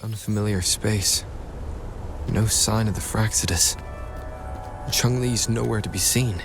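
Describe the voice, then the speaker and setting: Focused young hero, lowish voice, clipped, music behind. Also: male voice, a little slow. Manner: terse, focused inner thoughts. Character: determined young male hero searching alone. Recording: game score underneath.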